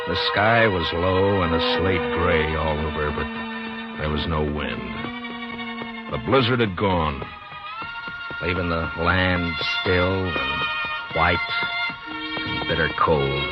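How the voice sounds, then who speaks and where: An American actor playing a weary, grave frontier marshal in a 1950s radio western, speaking in a measured, hesitant way. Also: male voice, low voice. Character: weary, conscience-troubled frontier US marshal, grown man. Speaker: lead actor and first-person narrator. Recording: dull 1950s radio recording, music behind.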